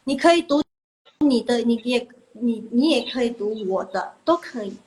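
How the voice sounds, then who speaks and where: Brief: young Indonesian woman teacher, fairly high voice, chatty and reassuring, repeats herself. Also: animated, unhurried, a little mellow, poor audio. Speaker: Mandarin teacher. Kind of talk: casual live online lesson.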